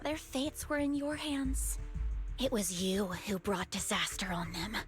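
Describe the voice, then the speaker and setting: An actress playing a bitter, volatile young villainess in a video game, vengeful and obsessed, with a mocking, theatrical delivery. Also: female voice, mid-range voice, expressive, a little slow, lightly acted. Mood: mocking, accusing. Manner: mocking, theatrical, swinging into shrieks. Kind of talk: dramatic video game voice acting. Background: game music.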